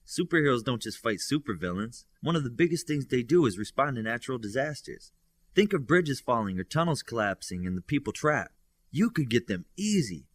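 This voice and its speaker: A man's voice: medium pitch, fairly bright tone, no echo. A man voicing an analytical, practical and encouraging student superhero in an audiobook. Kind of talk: audiobook character dialogue.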